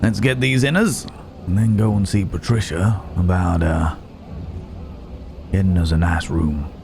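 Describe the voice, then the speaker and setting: Male actor as a Wild West cowboy, deep voice, slow drawn-out pacing, folksy, courteous with quiet menace, intimate audio roleplay. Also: creaky, noisy background. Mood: genial. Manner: unhurried, pausing, old-fashioned folksy turns of phrase. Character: laconic, gallant Wild West cowboy stranger, gentlemanly yet menacing. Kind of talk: intimate ASMR roleplay storytelling.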